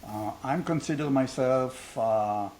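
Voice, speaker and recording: A man's voice: medium pitch, slow, a little mellow. Older Israeli-accented man, blunt, provocative host, dry self-mocking humor, faint hiss on the recording. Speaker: host, founder of a training academy. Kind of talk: unscripted conversation.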